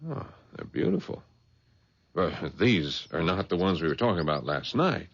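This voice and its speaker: An actor playing a smooth-talking private detective in a radio drama, easygoing and probing. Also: male voice, low-pitched, sing-song, moderate pace, a little hiss or hum, full character voice. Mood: affable, probing. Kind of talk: radio drama dialogue and first-person narration. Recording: dull vintage radio recording.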